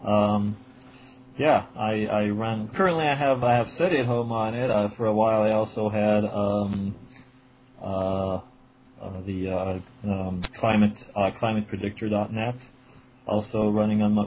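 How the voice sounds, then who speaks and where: A man's voice: lowish voice, flat delivery, normal speed. A man in his forties from Israel, answering interview questions with many fillers and restarts, and a slight cold. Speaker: guest, writer and editor. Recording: dull lo-fi sound with faint noise.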